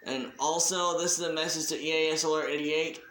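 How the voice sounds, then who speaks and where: A man giving a casual, unscripted shout-out announcement as a hobby video creator, talking in a halting, deliberate way. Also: a little high, fairly even intonation, echoey room, slight background noise, low-quality recording.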